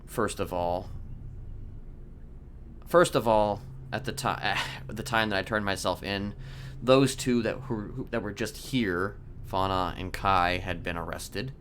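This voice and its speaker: A man in a game roleplay voicing a calm, sinister criminal manipulator who speaks haltingly and deliberately in formal words. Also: lowish voice, slight background noise. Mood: measured, confessional. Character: soft-spoken sinister male criminal manipulator, calmly confessing. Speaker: roleplay streamer. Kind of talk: improvised in-character game roleplay.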